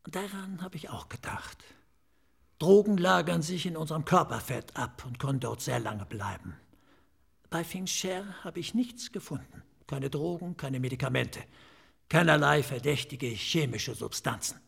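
A slightly eccentric forensic pathologist in a radio drama. His voice is gravelly and rough, set a little high for a man. He explains clinical matters in measured phrases, confiding and relishing each detail. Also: animated, full character voice. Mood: lecturing, relishing. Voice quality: raspy, creaky. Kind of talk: German radio drama acting.

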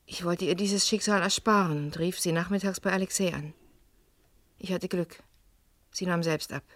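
A woman's voice: fairly low pitch, fairly even intonation, moderate pace, no room sound, close to an everyday voice. Woman playing a young student, haughty and defensive, old radio crime play, dry close sound. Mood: grave, confessing. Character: defiant, evasive young female student under police questioning. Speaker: radio drama actor. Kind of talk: vintage German radio crime drama acting.

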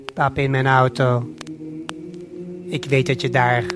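Slow male storyteller over prominent music. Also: medium pitch, flat delivery. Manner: measured, hypnotic short phrases with deliberate pauses. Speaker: narrator and guide of an audio play. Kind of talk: slow scripted storytelling addressed to the listener.

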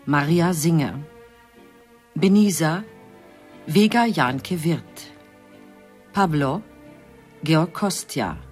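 A formal, measured radio announcer reading the credits of a radio play. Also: mid-range voice, a little mellow.

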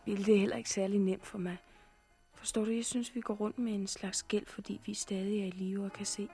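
A woman playing a calm, resolute young woman, loving but principled and gently persuasive, in a 1960s radio drama. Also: mid-range voice, faint music behind, close to an everyday voice. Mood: persuasive, tender.

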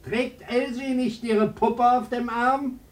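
An actor in a German radio play playing a cold, officious town mayor with a stern, pompous delivery. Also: male voice, extremely high pitch, fairly even intonation, takes it slowly. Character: cold, officious town mayor turning away old friends.

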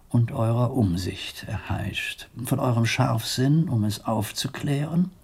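An actor playing a grave, diplomatic abbot in a radio drama, husky and gravelly, speaking formally with weighty pauses. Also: male voice, mid-range voice, a little mellow, heavily acted. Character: grave, diplomatic male abbot of a medieval monastery.

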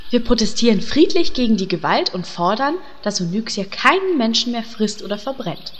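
An idealistic, sarcastic heroine acted by a woman talks earnestly and teasingly in a parody audio play. Her voice sits fairly high in a long reverb, with faint noise behind. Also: very animated, normal speed. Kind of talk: parody audio drama acting.

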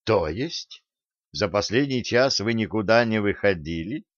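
Male narrator as prying audiobook investigator: halting, insinuating, tactfully hedging, dry muffled sound. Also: fairly low pitch, animated, dry recording. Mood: insinuating, hesitant.

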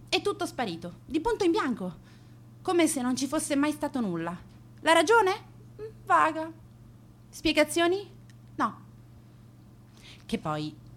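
Sardonic, animated teenage schoolgirl. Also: fairly high pitch. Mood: bitter. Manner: sardonic, self-justifying, defiant. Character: angry, proud, manipulative teenage schoolgirl confessing to bullying. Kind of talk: dramatic radio play monologue.

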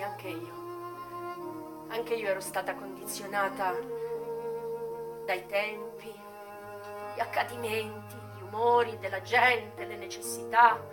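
A high-pitched female voice over clearly audible music, an actress playing a worldly, unapologetic retired madam. She tells her memoirs as a theatrical radio monologue, wistful and dramatic, dropping to a hush and then pressing hard on her words. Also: close to an everyday voice. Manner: dramatic pauses, swings between hushed and emphatic.

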